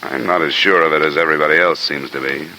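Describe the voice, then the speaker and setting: An actor playing a hard-boiled, persistent investigator in a 1950s radio detective drama, clipped, dry and matter-of-fact. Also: male voice, extremely low pitch, steady tone, fast, bright, forceful tone, exaggerated voice. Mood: dry, probing. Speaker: lead actor and narrator. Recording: noisy vintage radio recording.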